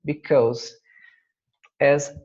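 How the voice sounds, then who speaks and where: A man from Brazil with a Brazilian Portuguese accent, a native Portuguese speaker teaching math over slides, halting and searching for his English words with frequent fillers. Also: fairly high pitch, steady tone, darkish tone, slightly rough, lo-fi sound. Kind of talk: slide-based math lesson.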